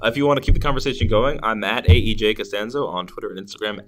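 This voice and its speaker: A man's voice: on the low side, very fast. A man hosting a podcast, chatting casually and enthusiastically about films in an easygoing way. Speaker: podcast host. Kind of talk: casual film review chat.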